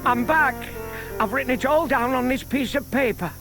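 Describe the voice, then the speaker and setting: An actor playing a shy, scheming older gentleman who explains things patiently and politely in a children's audio drama. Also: very high voice, moderate pace, over music. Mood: eager, polite. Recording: audible hiss from old cassette tape.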